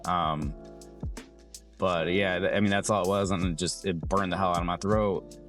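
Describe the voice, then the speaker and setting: A young adult man with a hoarse, raspy voice, chatting casually and rambling as he loses his train of thought. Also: low voice, fairly even intonation, moderate pace, fairly bright tone, faint music behind. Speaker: vlogger. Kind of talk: casual vlog chat.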